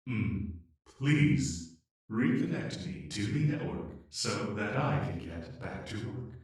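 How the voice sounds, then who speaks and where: A man voicing an anxious, polite, humanlike artificial intelligence in an emotional audio drama, speaking in a measured, formal, hesitant way. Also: mid-range voice, darkish tone. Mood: stunned, subdued, then frustrated, pleading. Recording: echoey, distant-sounding room.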